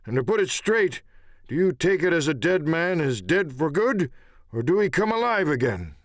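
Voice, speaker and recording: A man voicing a sly, wounded old pirate coxswain in an audiobook, with wheedling, halting, talk. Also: a little high, big pitch swings, no echo, clean studio sound, voice pushed up. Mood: sly, musing.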